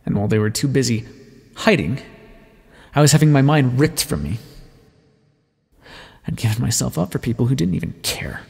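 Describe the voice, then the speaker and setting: A man acts out a sardonic male vampire for an audio roleplay. He is bitter, wordy and self-mocking, with a theatrical swing in pitch. A gravelly fry roughens his words, and a long reverb trails behind them. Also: lively intonation, normal speed, long pauses, heavily acted. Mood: bitter, brooding. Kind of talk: ASMR roleplay monologue, dramatic storytelling.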